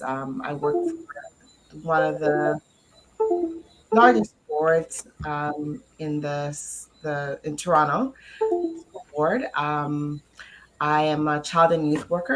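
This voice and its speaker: Very low-voiced woman, hesitant interview guest. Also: fairly bright tone, slight background noise, rough recording. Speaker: interview guest, podcaster and cast member. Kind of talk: informal interview self-introduction.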